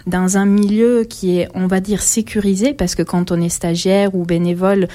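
A woman, a guest answering interview questions in a halting, thoughtful way. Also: mid-range voice, little pitch movement, mellow. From Italy. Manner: halting, thoughtful, many pauses.

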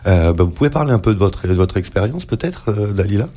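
An interviewer with a very low voice and a dark, mellow tone. They keep things moving with brisk, encouraging prompts, inviting guests to talk. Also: expressive. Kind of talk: interview questions.

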